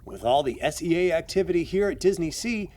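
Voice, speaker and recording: A man's voice: fairly high pitch, expressive, a little fast, a little mellow, lightly acted. A man acting as a confident, knowledgeable guide in an audio adventure drama, explaining things with emphatic storytelling. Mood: proud storytelling. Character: knowledgeable male guide and secret society insider, eager and confident.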